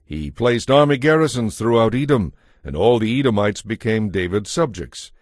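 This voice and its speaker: A fairly low-voiced man reads scripture as a narrator. His delivery is measured and solemn, in a scripted audiobook style. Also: lively intonation, no room sound, clean studio sound.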